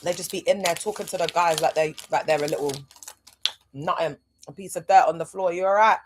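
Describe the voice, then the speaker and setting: A woman hosting a livestream, talking in a casual, animated way, full of rhetorical asides. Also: lowish voice, a little fast, noisy background. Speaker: livestream host.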